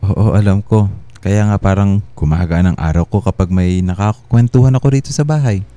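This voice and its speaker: A man's voice: low voice, a little fast, mellow, no room sound, lightly acted. An actor playing a tired, dutiful husband and father in a Filipino radio drama, earnest and a little hesitant, with a Filipino accent. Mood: grateful, earnest. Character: tired, dutiful working husband and father, grateful, somewhat evasive.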